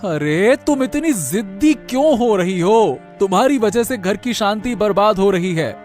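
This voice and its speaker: A man playing a helpless, henpecked village husband who pleads and complains in a Hindi animated moral story. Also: high-pitched, unhurried, soft background music. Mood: exasperated.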